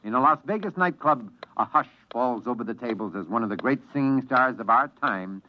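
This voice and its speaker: A man's voice: medium pitch, expressive, brisk. A man announcing on 1950s radio in a dramatic, scene-setting scripted narration. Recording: muffled, noisy old radio recording.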